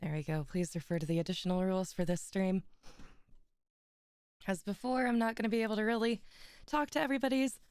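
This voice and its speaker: Slightly hoarse giggly streamer woman. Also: mid-range voice, steady tone, brisk, pauses often, a little mellow, dry recording. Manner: giggly, chatty, stumbles over foreign words. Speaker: streamer reading aloud. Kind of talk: casual chat and literary reading aloud.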